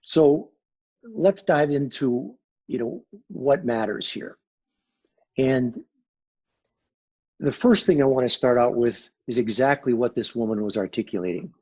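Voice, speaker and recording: A man speaking with a husky, raspy voice in a measured, emphatic, pragmatic way. He talks as a guest consultant in a business interview. The recording sounds dull and lo-fi. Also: mid-range voice, lively intonation, a little mellow.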